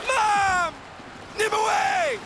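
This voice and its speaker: An actor playing a distraught, timid young soldier in a video game, anguished and pleading. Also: male voice, extremely high pitch, very animated, very slow, full character voice.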